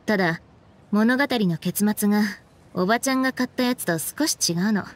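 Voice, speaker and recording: Cool, laid-back young woman acted, medium pitch. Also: a little hiss or hum, lightly acted. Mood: casual, cool. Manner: casual, blunt, unhurried, pointed questioning. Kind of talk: anime game voice acting. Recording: background music under the voice.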